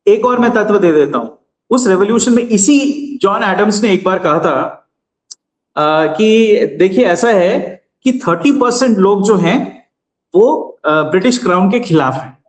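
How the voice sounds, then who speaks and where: A man with a high voice lays out his argument like a lecture, measured and steady with small hesitations. His voice rings in a roomy, echoey space. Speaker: guest commentator. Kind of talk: explanatory political commentary.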